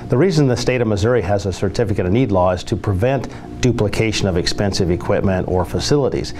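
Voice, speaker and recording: A man speaking as a hospital representative, delivering a prepared, persuasive public address in a measured, formal, explanatory manner. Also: fairly low pitch, a little mellow, a little hiss or hum.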